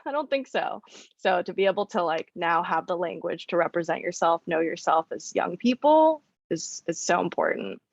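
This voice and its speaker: A woman's voice: on the low side, creaky, dry recording. A woman moderating a panel discussion in a friendly, conversational way. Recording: dry, dull lo-fi audio.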